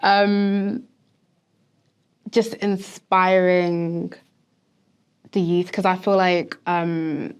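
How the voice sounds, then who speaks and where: A woman's voice: fairly low pitch, level tone, extremely slow, long pauses, smooth. A 22-year-old woman, a neuroscience student, chatting casually as a podcast guest, laughing often and filling her speech with "like" and "um". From grew up in the UK. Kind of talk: casual interview chat.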